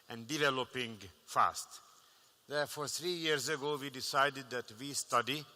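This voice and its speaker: Bank exec guy on a panel, very bright voice, measured with lots of restarts, big echoey conference hall. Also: medium pitch. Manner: measured, with frequent restarts and fillers. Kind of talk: panel discussion answer.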